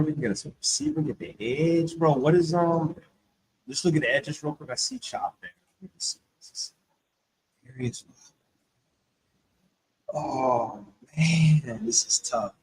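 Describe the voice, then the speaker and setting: Animated man, lively pitch, slangy. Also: measured pace, pauses often, slight background noise, poor audio. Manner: animated, slangy, says bro a lot. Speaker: draft show co-host. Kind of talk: casual mock draft chat.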